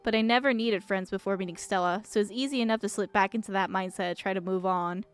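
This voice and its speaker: A woman's voice: mid-range voice, fast, smooth, soft background music, natural voice. A woman voicing a guarded, sardonic young woman from a small town in a video game, blunt and dismissive. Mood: guarded, wistful.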